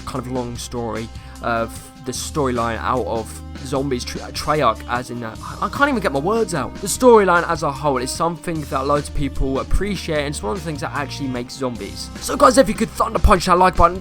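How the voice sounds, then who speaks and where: A young man YouTuber with a slightly croaky voice, rambling through casual gameplay commentary and asking viewers questions. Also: medium pitch, a little fast, a bit bright, faint music behind. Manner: rambling, self-correcting, asks viewers questions.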